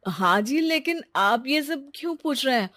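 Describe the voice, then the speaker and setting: Woman doing a lower-pitched, anxious husband. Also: animated, normal speed, fairly bright tone. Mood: anxious, agitated. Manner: hesitant, agitated. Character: anxious adult son and husband in a family drama.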